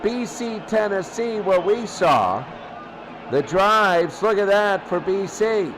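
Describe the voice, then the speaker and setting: High-pitched man, slow clipped sports commentary, noisy. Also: lively intonation, crowd noise. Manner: clipped fragments with pauses. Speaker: sports studio host. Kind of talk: off-the-cuff college football score commentary. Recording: clearly noisy background.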